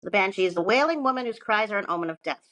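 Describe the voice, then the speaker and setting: A woman with a medium-pitched voice presents her research on a casual podcast. She moves between reading notes and chatty, irreverent asides with some swearing and self-mockery. The sound is dry, with very little room to it. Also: lively intonation, racing, a bit bright, no echo.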